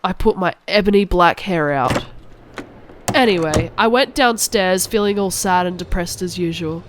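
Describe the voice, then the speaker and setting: A woman playing a self-absorbed teenage goth girl, narrating an animated fan fiction in a melodramatic, whiny way. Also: lowish voice, normal speed, background noise, natural voice. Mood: gloomy.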